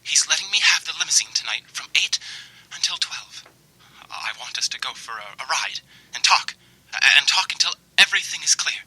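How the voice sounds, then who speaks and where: A man's voice: on the high side, lively intonation, fairly quick, bright, forceful tone, husky, exaggerated voice, low-quality recording. An actor playing an unstable, lovesick young man who stammers and frets in old-time radio mystery style. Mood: desperate pleading. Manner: stammering, pleading, overwrought.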